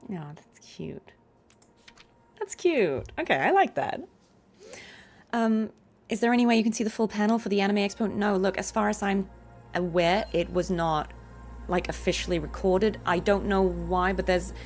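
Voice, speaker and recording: A woman livestreaming a game, chatting casually and reacting to it in an expressive, informal way. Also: medium pitch, normal speed, soft background music. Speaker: livestreamer, voice actor. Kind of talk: casual chat and reactions while streaming a game.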